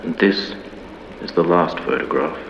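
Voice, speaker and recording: A man playing a solemn 1950s documentary narrator, reading a scripted horror mystery in a formal, measured, grave manner. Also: low voice. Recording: dull lo-fi, long reverb, clear background noise.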